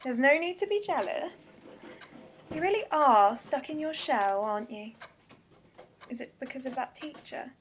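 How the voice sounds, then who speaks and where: A woman's voice: sits high, expressive, measured pace, slight background noise. An actress playing a teasing, flirtatious woman who is insecure and wounded underneath, in a radio drama. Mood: flirty, teasing. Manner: teasing, confessional.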